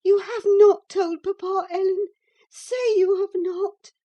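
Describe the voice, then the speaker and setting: A woman voicing a distraught teenage girl who pleads through tears in a high, cracking voice. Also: fairly even intonation, slow, warm tone. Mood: pleading, sobbing.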